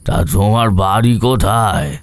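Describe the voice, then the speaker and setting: A man playing an old landlord in a horror audio story, eerily calm and measured, speaking with pauses. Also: lowish voice, dry recording. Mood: quietly musing. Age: older.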